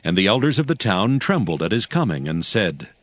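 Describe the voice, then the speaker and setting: A man's voice: on the low side, big pitch swings, brisk, dry recording. A man narrating scripture as a solemn, scripted dramatic story. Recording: prominent music bed behind voice.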